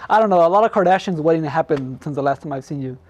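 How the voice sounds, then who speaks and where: High voiced guy hosting a jokey talk show, kinda repeats himself, casual. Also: animated, fast talker, darkish tone, highs cut off.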